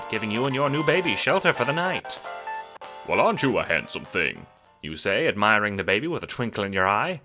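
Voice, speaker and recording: A man's voice: medium pitch, bright, forceful tone. A man narrating a scripted second-person story in a theatrical, wry manner. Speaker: narrator of an audio drama.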